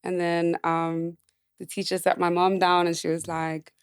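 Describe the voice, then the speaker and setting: Young woman w a deep smooth voice, chill slangy talk, dry close sound. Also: level tone, measured pace, dry recording. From South Africa. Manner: laid-back, laughs often, slangy. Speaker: podcast guest singer. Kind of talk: casual chat.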